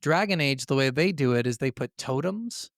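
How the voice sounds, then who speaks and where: A man's voice: medium pitch, expressive, moderate pace, no echo. A man with a mid-range voice co-hosts a gaming podcast in a dry recording. He chats casually, gives blunt opinions and curses freely. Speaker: podcast co-host.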